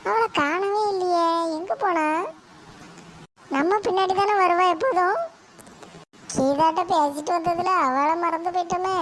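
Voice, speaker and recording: An actor playing a mischievous schoolboy grandson, making excuses and muttering asides. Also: male voice, extremely high pitch, measured pace, long pauses, fairly bright tone, a little hiss or hum. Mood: cheeky.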